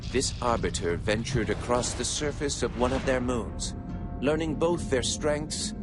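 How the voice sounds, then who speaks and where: An actor playing a haughty, zealous male alien prophet, narrating game cutscenes in a grandiose, measured, imperious way. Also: mid-range voice. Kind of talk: solemn scripted cutscene narration.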